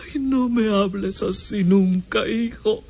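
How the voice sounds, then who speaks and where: A heartbroken birth mother begs her son with melodramatic sobs in an old radio play. Her voice is husky and she speaks slowly. Every plea comes out tearful. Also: medium pitch, darkish tone. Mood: anguished pleading. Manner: pleading, melodramatic. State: crying. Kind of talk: radio drama acting.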